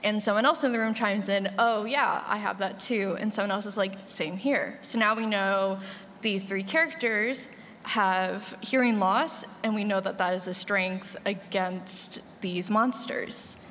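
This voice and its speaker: A woman's voice: medium pitch, unhurried, a bit bright. A woman giving explanations and examples as a panelist, talking informally with some help from notes. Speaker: panelist, audio drama creator. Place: large panel room at a convention. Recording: echoey hall, noisy, roomy pickup.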